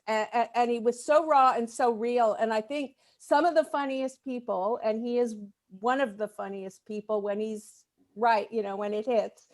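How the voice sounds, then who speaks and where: High-voiced woman thinking aloud in conversation. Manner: halting, repeats words while thinking. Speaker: guest in a group discussion. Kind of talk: casual video-call chat.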